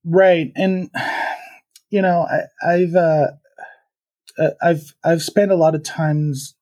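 A man from Venezuela speaking as a podcast guest, thoughtful and halting, with many fillers and restarts. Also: on the high side, steady tone, mellow. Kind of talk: reflective conversational interview.

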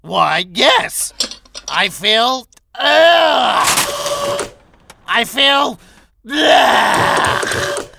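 Performer as a tiny, sulky, melodramatic villain; gravelly, dry, very slow drawl. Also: sits high, wildly swooping pitch, noisy background, heavily acted. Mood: grudging. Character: small frustrated theatrical schemer villain. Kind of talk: audio drama acting.

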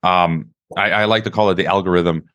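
A man with a somewhat low voice hosts an interview. He stammers often and repeats words as he works toward his point. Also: fairly even intonation, brisk, fairly bright tone. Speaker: interview host. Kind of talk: conversational interview.

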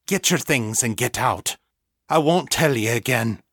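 A man's voice: medium pitch, animated, a little slow, fairly bright tone, slightly rough, dry recording. A fierce mother standing her ground acted by a male narrator in an audiobook story. She is curt, commanding and defiant, and the sound is dry. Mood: cold warning.

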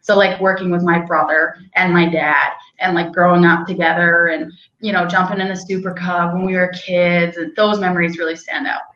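A woman's voice: on the low side, little pitch movement, moderate pace, hardly any pauses, a bit bright. Chatty woman, echoey dull sound. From Canada. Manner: chatty, rambling, with frequent likes and laughs. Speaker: hunting guide and outfitter. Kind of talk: casual interview storytelling.

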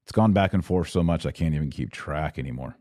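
A man's voice: rumbling low, fast talker, warm tone, no echo. A man hosting a podcast, chatting casually to viewers with wry asides as he comments and reads out their messages. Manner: conversational, wry joking asides. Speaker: podcast host.

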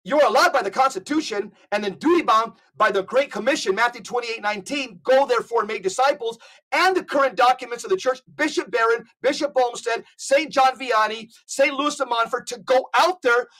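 A man's voice: extremely high pitch, fairly quick, sharp tone, poor audio. A man speaking as a podcast guest, telling stories and urging listeners in an emphatic, preacherly way, often repeating his words. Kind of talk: animated storytelling and exhortation.

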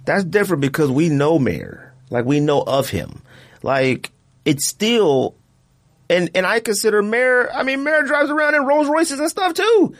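A man hosting a podcast in casual, slangy chat, talking in an animated way and repeating himself for emphasis. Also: high-pitched, measured pace. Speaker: podcast host. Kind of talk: casual chat, friendly debate.

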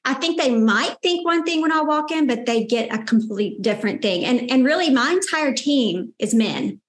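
A woman's voice: high voice, animated, moderate pace, reverberant. A woman with a Southern accent and a sweet-toned voice, telling her story as a guest in a casual interview, candid and determined. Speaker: guest, founder and CEO.

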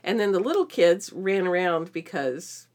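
A middle-aged woman speaks in a slightly low register. She co-hosts a podcast and talks informally, as in an everyday conversation with a friend. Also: expressive, moderate pace, darkish tone. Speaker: podcast co-host, homeschooling mother. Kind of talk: casual conversational chat.

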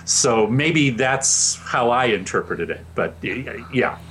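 A man answering a question in a movie chat, thoughtful and hedging, with an expressive, rising-and-falling voice. Faint room ambience sits behind him. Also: mid-range voice, takes it slowly, a little hiss or hum, low-quality recording. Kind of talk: casual film discussion.

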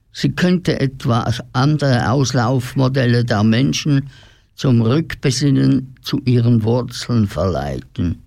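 A man's voice: mid-range voice, vocal fry, darkish tone, no room sound, studio quality. A man narrating a scripted radio drama as its storyteller.

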